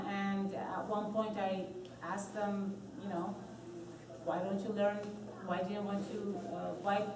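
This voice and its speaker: A woman from Iran speaking in a group discussion, measured with some hesitation. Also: medium pitch. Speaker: panel speaker, parent. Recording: echoey room, distant mic, noise.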